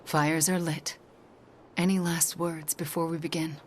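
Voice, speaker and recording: An assured sorceress in a video game. She gives instructions plainly in a somewhat low, focused voice, with faint ambience behind. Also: flat delivery, measured pace, a little hiss or hum. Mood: focused, grave.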